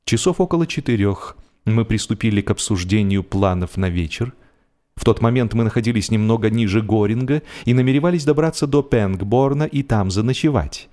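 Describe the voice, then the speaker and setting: A man narrating a humorous novel expressively, with a theatrical, deliberate delivery that draws out phrases for drama. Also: on the low side, dark tone. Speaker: audiobook narrator.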